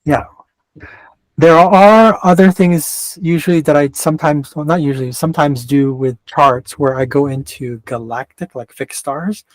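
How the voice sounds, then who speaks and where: A man's voice: fairly high pitch, normal speed, no room sound, low-quality recording. Rambling man with a Canadian-West Coast accent. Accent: mixed Canadian and West Coast American. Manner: rambling, self-interrupting, digressive. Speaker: astrologer. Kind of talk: casual chart reading.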